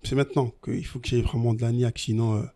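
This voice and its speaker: Man, twenties, musician guest, laid-back chat, mid pitch. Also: fast talker. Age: 20s. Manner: relaxed, mixes in another language. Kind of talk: casual interview chat.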